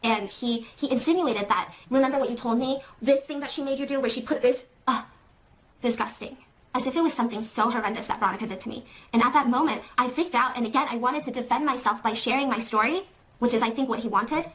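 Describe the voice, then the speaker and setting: A woman with a high-pitched, loud voice telling an emotional storytime in a clip. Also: quick pace, low-quality recording. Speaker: youtuber in a played clip. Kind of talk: storytime monologue.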